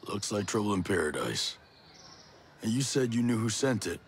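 Stern, suspicious soldier: bass-low, clipped game dialogue over faint ambience. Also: male voice, sing-song, moderate pace, a little hiss or hum. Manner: blunt, terse. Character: stern, terse male soldier, probing and suspicious. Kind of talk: video game cutscene acting.

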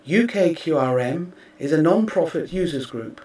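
A man reading scripted narration in a measured, formal way, as if delivering a press release. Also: on the high side, lively intonation, darkish tone. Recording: roomy, distant microphone.

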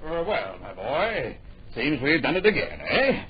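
An actor playing an eager, fussy older publisher in a radio drama, stammering and avuncular. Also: male voice, a little high, very animated, a little slow, crowd noise. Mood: eager, anxious. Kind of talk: old radio drama acting. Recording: dull old radio recording, faint noise.

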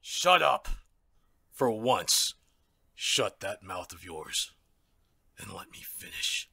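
A menacing male werewolf alpha for an audio drama, acted by a man. The voice is raspy and forceful, drawn out slowly with smug, mock-polite condescension. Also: on the low side, very animated, exaggerated voice. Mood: gloating, domineering. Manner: slow, condescending, mock-courteous. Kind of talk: ASMR audio drama acting.

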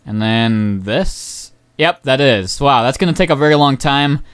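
A young man giving casual, rambling gameplay commentary as a channel host, excitable and swearing often, his voice going hoarse. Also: medium pitch, measured pace. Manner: rambling, excitable, swears, many ums and uhs.

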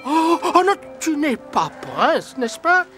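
An old, jolly cartoon Santa who dithers and exclaims like a kindly uncle. His voice sits high and swings widely in pitch. Music plays behind him. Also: very animated, slow, bright, forceful tone, heavily acted. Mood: excited, alarmed. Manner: hesitant, exclamatory, avuncular. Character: old, jolly, flustered Santa Claus in disguise. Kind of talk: animated cartoon dub acting.

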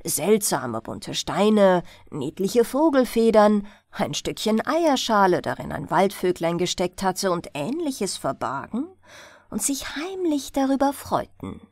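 Expressive, unhurried woman reading a bedtime story. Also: mid-range voice, no room sound, clean studio sound. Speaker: audiobook narrator. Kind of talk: bedtime fairy tale narration.

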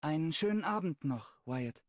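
A man's voice: on the high side, animated, normal speed, dry recording, pitched above the performer's own voice, lightly acted. A man putting on a friendly young woman, her talk lifted well above his usual register and bouncing with energy. The recording sounds dry and close, like a studio read. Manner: raised, bright-sounding delivery. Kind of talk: audiobook dialogue reading.

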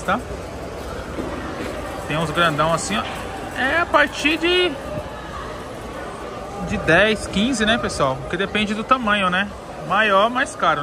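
A Brazilian man giving a casual, chatty walk-through as a vlogger at a market, talking informally and straight to viewers. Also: high-pitched. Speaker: vlogger showing a plant market. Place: busy outdoor street market. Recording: noisy outdoor recording with street sounds.

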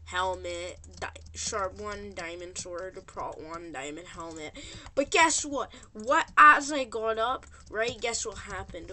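A gamer giving rambling, informal and excitable gameplay commentary as host of a gaming video. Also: mid-range voice. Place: indoors at a computer. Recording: background noise with keyboard clicks. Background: keyboard typing and mouse clicks.

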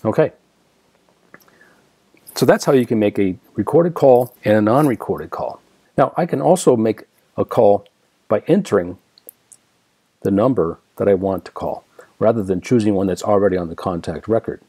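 Male American tutorial presenter, dark soft-edged tone, dry close recording, explains each step methodically. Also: on the low side, expressive, moderate pace, long pauses, slightly rough, no echo. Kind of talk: step-by-step screen demonstration narration.

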